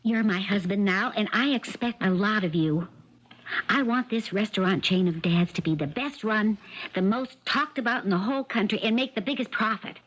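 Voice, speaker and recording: Actress as a bossy, ambitious wife, dull old-radio sound. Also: female voice, medium pitch, moderate pace, a bit bright, natural voice. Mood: demanding. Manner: bossy, hard-edged, commanding. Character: domineering, ambitious wife, shrewd and demanding. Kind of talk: 1970s radio mystery drama acting. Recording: dull, band-limited 1970s radio broadcast.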